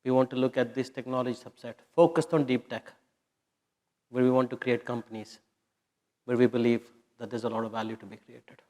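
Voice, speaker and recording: A man's voice: mid-range voice, little pitch movement, fairly quick, a little mellow. A man speaking as an executive at a press conference, answering questions in a confident, emphatic way. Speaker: company executive.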